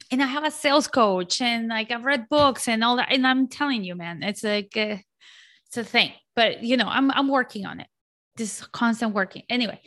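A woman's voice: on the high side, a bit bright. A woman from Colombia in her forties, a guest talking in an outgoing, chatty way with many fillers and restarts. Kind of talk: casual interview chat.

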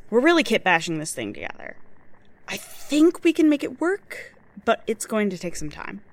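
A woman playing an earnest, awkward rocket engineer in a sci-fi audio drama, halting one moment and eager the next. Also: medium pitch, very animated. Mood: hesitant, harried.

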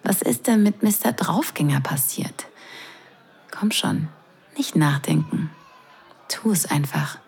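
A woman acting in an intimate audio drama as a teasing wedding guest who is drawn in, breathy and hushed. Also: sits low, expressive, whispered, heavily acted. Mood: hushed, tender. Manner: breathy, hushed, slow and sensual delivery.

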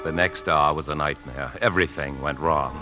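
A man's voice: rumbling low, moderate pace, over loud music. An actor in a 1950s radio drama playing a driven, secretive husband, urgent and insistent as he speaks and narrates. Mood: tense narration. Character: tense, driven husband hiding a secret, desperate to escape.

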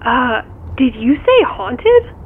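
A muffled phone voice over faint car noise. It is a worried, blunt woman friend, played by an actress in an audio drama, speaking unhurriedly in a high voice. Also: very animated, drawn-out words, full character voice. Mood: concerned. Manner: blunt, exasperated, concerned. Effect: phone call filter.

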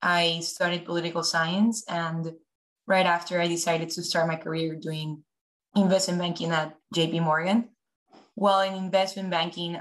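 Young woman from ecuador on a podcast, lowish voice, talks with lots of restarts. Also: fairly bright tone, rough recording. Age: young adult. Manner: thoughtful, restarts and repeats words. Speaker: guest. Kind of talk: podcast interview.

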